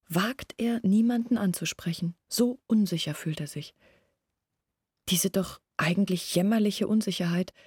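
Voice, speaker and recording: An actress playing an anxious, reflective village woman in a literary radio play, earnest and deliberate, with a husky, breathy voice. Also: medium pitch, a little mellow, no room sound, clean studio sound, close to an everyday voice. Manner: earnest, deliberate, searching, questioning herself. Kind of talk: literary radio play acting, long monologues. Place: dry studio.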